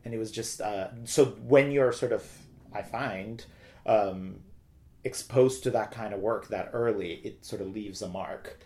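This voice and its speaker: Middle-aged male interview guest, lowish pitch, talkative and casual. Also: unhurried, warm tone. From Turkey. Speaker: interview guest, theater director. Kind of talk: casual personal storytelling in an interview.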